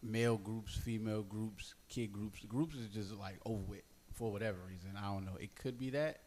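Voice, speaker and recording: A man's voice: on the low side, fairly even intonation, normal speed, a little mellow. Guy hosting a podcast, joking around, slangy, bit of noise behind. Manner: loose, joking, slangy banter. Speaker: podcast host. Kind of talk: casual interview chat.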